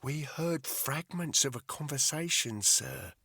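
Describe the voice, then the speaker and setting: A man voicing a young female agent who speaks quietly and reasons respectfully with her superior. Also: on the high side, animated, measured pace, slightly rough, no room sound, clean studio sound, voice pushed up, full character voice. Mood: persuasive. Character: calm young female agent, gently persuasive.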